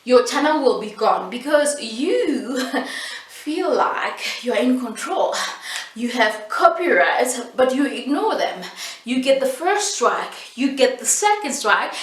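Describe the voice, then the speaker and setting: The recording is echoey and a little far from the mic. A South African woman, native in Setswana, speaks in a fairly high voice. She explains things like a casual YouTube host, emphatic and playful, repeating phrases for stress. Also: a little slow. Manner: animated, emphatic repetition, playful asides with laughs. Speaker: YouTube host giving tips. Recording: echoey room, distant mic.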